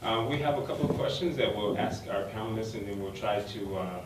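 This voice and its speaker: A man's voice: on the low side, brisk. A man moderating a university panel, speaking hesitantly with many ums and uhs. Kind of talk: hesitant opening remarks. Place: university lecture room. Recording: echoey hall, far mic, noisy.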